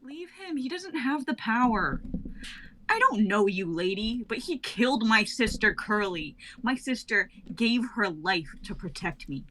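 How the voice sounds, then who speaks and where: A woman's voice: fairly high pitch, big pitch swings, a little slow, sharp tone, a little hiss or hum, pitched above the performer's own voice, heavily acted, poor audio. A woman voicing Strata, a grieving young gunslinger, speaking angrily. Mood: angry.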